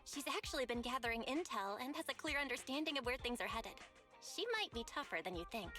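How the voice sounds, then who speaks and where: A woman's voice: on the high side, expressive, quick pace, over music, natural voice. An actress playing a friendly, level-headed young woman in a video game, speaking in a frank, reassuring way.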